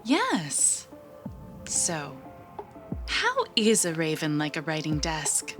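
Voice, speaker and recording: A woman playing an unflappable, eerie agency interviewer in an audio drama, measured and clinical as she probes. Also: deep voice, music in the background, heavily acted. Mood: clinical, cryptic. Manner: measured, clinical, calmly probing.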